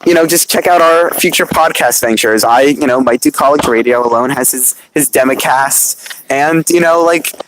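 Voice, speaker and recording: A man's voice: mid-range voice, moderate pace, background noise. A young man co-hosting a podcast reunion, joking and rambling in casual chat outdoors. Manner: joking, rambling, laughs often. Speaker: podcast co-host. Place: outdoors on a front lawn.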